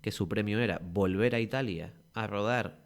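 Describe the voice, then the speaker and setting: Lower-voiced Canarian man telling stories. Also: normal speed, creaky. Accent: Canary Islands Spanish. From Tenerife, Canary Islands. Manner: lively, rambling storytelling with many restarts. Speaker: guest actor. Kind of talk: casual podcast interview.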